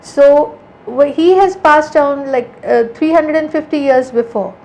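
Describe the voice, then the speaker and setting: A woman with a notably high voice speaks earnestly in a relaxed interview. She is an art conservator from India who thinks aloud, circling back and rephrasing as she goes. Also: a little slow, gravelly, low-quality recording. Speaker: art conservator, podcast guest. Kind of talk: casual interview conversation.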